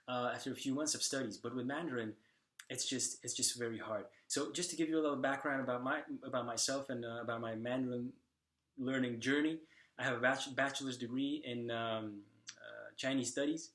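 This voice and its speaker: A young man from Slovakia, talking casually to the camera in a vlog and often pausing on fillers and restarts. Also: medium pitch, fairly bright tone, roomy sound. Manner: hesitant, many fillers and restarts. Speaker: language vlogger.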